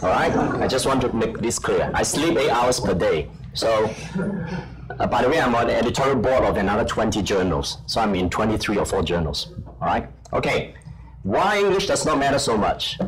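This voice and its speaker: A man's voice: lowish voice, animated, crowd noise. Chinese-born man on a conference panel. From China. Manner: self-deprecating, brisk, frequent fillers. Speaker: conference panelist, journal editor. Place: conference hall. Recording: audience noise in a conference hall.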